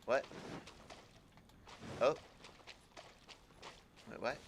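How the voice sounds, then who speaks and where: A man's voice: extremely slow, slight background noise. A man giving casual live commentary as a game streamer, often stammering and repeating words as he thinks aloud.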